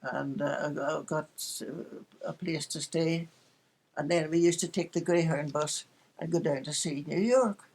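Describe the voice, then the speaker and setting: A woman's voice: low-pitched, measured pace, darkish tone. Elderly Northern Irish woman telling life stories. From Northern Ireland. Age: 80s. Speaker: interviewee recalling her life. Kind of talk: casual reminiscence, storytelling.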